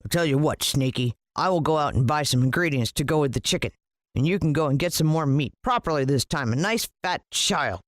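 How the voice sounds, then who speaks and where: A woman's voice: deep voice, lively intonation, a little fast, dry recording, clean studio sound. A woman voicing a gluttonous, cruel bearded man in a children's storybook, blustering and demanding as he bellows and mutters. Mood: scheming, gleeful.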